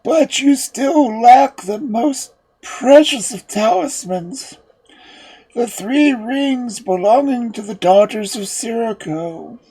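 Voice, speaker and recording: A storyteller voicing a dying old father, a solemn magician giving slow, halting, tearful counsel in a fairy tale. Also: medium pitch, lively intonation, warm tone, breathy edge, pitched above the performer's own voice, full character voice, rough recording. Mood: frail, solemn.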